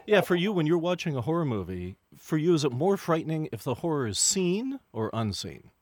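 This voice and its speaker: A man asks questions in a radio interview, his pitch rising and falling a lot. He sounds inquisitive and engaged. The recording is close and dry. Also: sing-song, brisk, darkish tone, no room sound.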